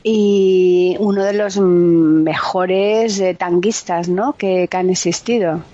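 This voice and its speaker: A woman's voice: on the low side, fairly even intonation, slow pace, warm tone, no room sound. A woman hosting a casual radio chat, teasing her co-hosts and laughing often. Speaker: radio host. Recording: slightly dull radio audio.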